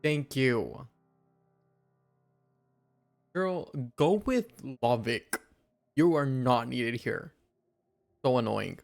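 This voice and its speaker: A man's voice: medium pitch, expressive, drawn-out words, creaky, long pauses. A young man giving slangy, exclamatory, casual reactions as a game streamer. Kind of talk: casual gaming reaction commentary. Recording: game music behind the voice.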